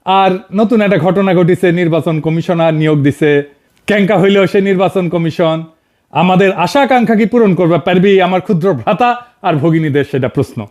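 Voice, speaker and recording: A man's voice: sits high, moderate pace, darkish tone. A man with a northern Bangladeshi accent giving informal political commentary, colloquial, sarcastic and emphatic, addressing people directly. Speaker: video commentator and host.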